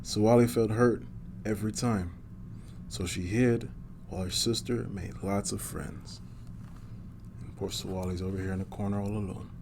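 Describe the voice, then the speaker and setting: A man's voice: fairly low pitch, fairly even intonation, moderate pace, long pauses, background noise. A man reading a picture book aloud to children in a superhero persona, adding small asides as he goes.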